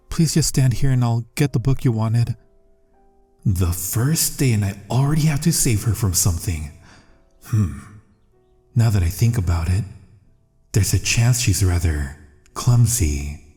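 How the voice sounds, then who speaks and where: A man acts out a secret assassin undercover as a courteous bodyguard for an audio roleplay. His voice is soft, dark and mellow, sitting fairly low. He speaks with dry, restrained exasperation beneath his good manners. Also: close to an everyday voice. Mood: alarmed, scolding. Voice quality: soft, gentle voice. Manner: restrained, dry, inner monologue and courteous address. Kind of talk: ASMR roleplay audio acting.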